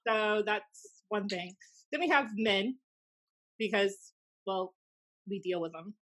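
A woman's voice: mid-range voice, slow, a bit bright, poor audio. An American woman in her thirties chatting wryly as a podcast co-host into a desk microphone. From United States. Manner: snarky, wry. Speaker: podcast co-host, former wrestling announcer. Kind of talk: casual podcast banter. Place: small room indoors.

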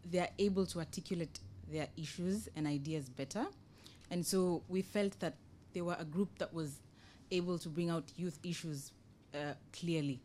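Young woman, Kenyan accent, fairly low pitch; NGO panellist presenting informally, measured and explanatory. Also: dark tone. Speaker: NGO project officer, panel speaker. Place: conference room, indoors, panel table. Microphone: table microphone on a stand.

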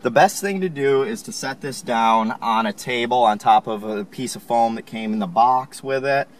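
A man calmly explaining, step by step, how to install a car part, recorded inside a car. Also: mid-range voice, moderate pace, slight background noise. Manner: calm, plain instructional. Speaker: installation instructor.

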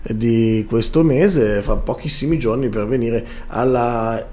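A man's voice: mid-range voice, slow pace, warm tone, reverberant. A man in his thirties hosting a casual studio interview and putting questions to guests. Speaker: radio and TV host. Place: small glass-walled broadcast studio. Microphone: studio desk microphone.

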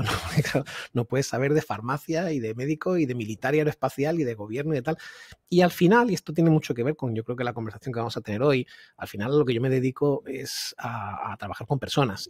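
A middle-aged man from Seville with a Spain accent and a husky voice, talking casually and chattily as an interview guest, often digressing and adding tag questions. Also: medium pitch, a little mellow, no room sound. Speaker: podcast guest, agile consultant. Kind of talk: casual reflective interview talk. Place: indoor room, video call. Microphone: large microphone close to mouth, earphones.

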